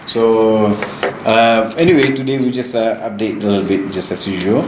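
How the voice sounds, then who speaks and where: A man's voice: lowish voice, moderate pace, roomy sound, background noise. A man hosting a casual talk about the economy, explaining things with a lot of fillers and restarts. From New Zealand. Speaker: host.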